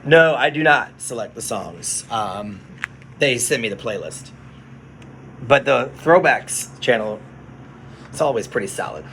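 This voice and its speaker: A man who works as a radio DJ, chatting casually on a phone livestream from his studio, relaxed and explaining things to viewers. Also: medium pitch, a little slow, pauses often, slight background noise.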